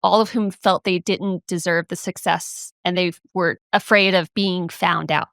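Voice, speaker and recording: A woman's voice: on the low side, steady tone, unhurried, creaky, fairly bright tone, no room sound, clean studio sound. Friendly self-deprecating woman podcaster. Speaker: podcast co-host. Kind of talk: casual chat and reading out research.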